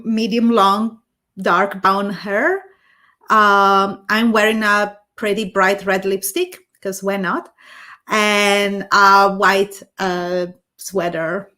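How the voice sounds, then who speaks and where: Slow-talking woman, playful. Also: medium pitch, little pitch movement, bright, forceful tone. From Italy. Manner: playful, unhurried, with self-corrections. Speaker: panelist, UX designer. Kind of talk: casual self-introduction. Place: studio room on top floor.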